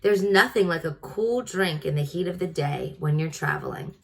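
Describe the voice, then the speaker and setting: A woman's voice: low voice, normal speed, creaky. A woman voicing a tiny male mouse traveler from a storybook, polite, jaunty and wistful, with slightly formal speech.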